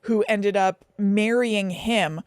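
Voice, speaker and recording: A woman's voice: medium pitch, steady tone, slow, clear, resonant tone, dry recording, studio quality. A woman co-hosting a podcast, chatting casually about film in a conversational way, with plenty of "like" and asides. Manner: conversational, frequent "like" and hedging asides. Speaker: podcast co-host.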